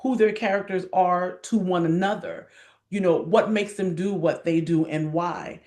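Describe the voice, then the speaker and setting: A woman's voice: on the low side, steady tone, moderate pace, a little mellow. A middle-aged woman talking casually as an acting coach in an interview, emphatic and passionate, repeating words and posing rhetorical questions.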